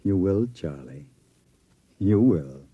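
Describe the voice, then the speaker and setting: An actor playing a cold, domineering American gang boss in 1950s radio acting style, commanding and sardonic. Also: male voice, low voice, animated, takes it slowly, husky, no room sound, dull audio, heavily acted.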